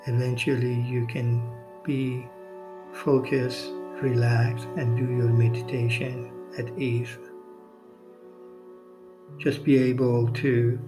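A man hosting a guided meditation podcast, speaking non-native English in short, measured phrases with long pauses. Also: mid-range voice, creaky. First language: not English. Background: music.

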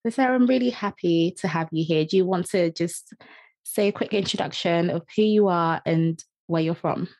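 Young female podcast host, lowish voice, dry close sound, bubbly and laughing. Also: normal speed, darkish tone, dry recording. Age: young adult. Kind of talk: casual interview chat.

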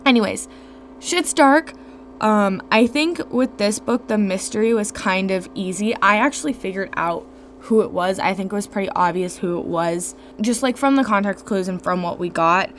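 Casual young woman, voice a bit rough and tired, talks fast and loose with lots of likes, faint fridge hum in the room. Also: mid-range voice. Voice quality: a little gravelly. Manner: chatty, lots of "like" fillers, expressive. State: voice worn from screaming. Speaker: podcast host reviewing a book. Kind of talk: casual solo podcast talk. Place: home room.

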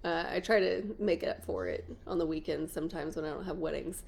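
A woman's voice: low-pitched, a little fast, creaky, darkish tone. A woman, chatting casually and playfully as a podcast host. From New Mexico. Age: 20s. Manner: chatty, self-deprecating, playful asides. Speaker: podcast host, wedding photographer. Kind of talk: casual chat.

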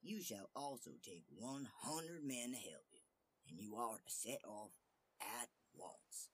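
A man's voice: mid-range voice, lively intonation, slow, slightly rough. A man voicing a stately fairy-tale king who speaks in a formal, commanding manner. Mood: flattering, commanding.

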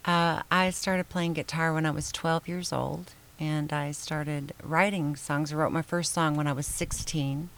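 Older woman singer-songwriter from michigan, soft and hesitant, lots of ums. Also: deep voice, steady tone, measured pace, hardly any pauses, a little hiss or hum. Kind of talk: casual interview chat.

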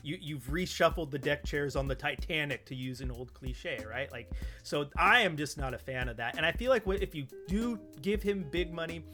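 High-voiced man giving casual sports opinions. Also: normal speed, few pauses, sharp tone, soft background music. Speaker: podcast co-host.

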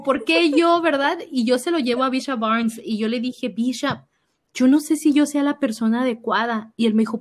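A young woman with a Mexican accent, a youth minister sharing her faith story in an animated conversational way. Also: fairly high pitch. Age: young adult. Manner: animated storytelling, acts out her own past reactions. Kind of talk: personal testimony in conversation.

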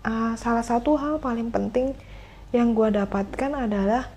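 A woman, hosting a casual solo podcast and musing reflectively with many fillers and pauses. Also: a little high, warm tone. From Indonesia. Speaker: podcast host.

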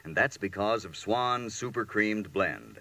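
A man's voice: on the low side, a little slow, pushed and bright. A man announcing in 1940s radio style, with a polished, salesmanlike delivery.

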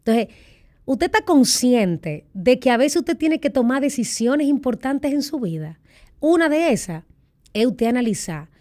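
A middle-aged Dominican woman talks candidly in an interview, with a fairly high voice. She tells her story with animation and acts out the voices of other people. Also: big pitch swings, a little slow, a little mellow. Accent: Dominican Spanish. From Dominican Republic. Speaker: guest.

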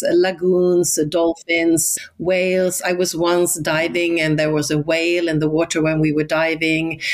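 A middle-aged woman from Sweden with a Swedish accent, a native Swedish speaker, telling personal stories in a casual, enthusiastic interview style. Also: fairly low pitch. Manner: enthusiastic, expressive. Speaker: interview guest, primary school teacher. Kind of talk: casual conversational storytelling.

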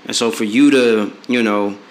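A man's voice: fairly low pitch, steady tone, moderate pace. A man in his late twenties co-hosting a podcast, giving blunt relationship advice in a rambling way, full of fillers and restarts. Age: 20s. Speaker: podcast co-host. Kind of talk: casual advice chat. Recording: echoey room with faint noise.

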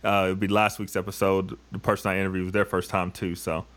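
A man's voice: low voice, level tone, fast talker, a bit bright, slight background noise. A man hosting a podcast, talking in an upbeat, slangy, friendly way. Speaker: podcast host. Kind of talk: casual podcast interview.

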